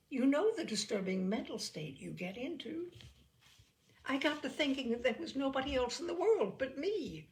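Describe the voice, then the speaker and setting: Animated woman storyteller with a creaky edge. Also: a little high. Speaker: storyteller, narrator. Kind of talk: humorous short story reading.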